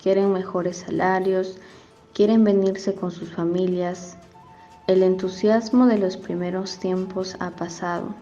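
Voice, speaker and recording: A woman's voice: fairly low pitch, steady tone, measured pace, warm tone, close to an everyday voice. A woman playing a hesitant, deferential male labour contractor pleading his case in an amateur radio serial. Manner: deferential, explaining. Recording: dull lo-fi audio with background music.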